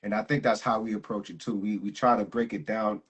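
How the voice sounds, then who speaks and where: A man hosting a casual live interview as a jiu-jitsu instructor, talking in a relaxed, slangy way and laughing often. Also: on the low side, a little fast, husky.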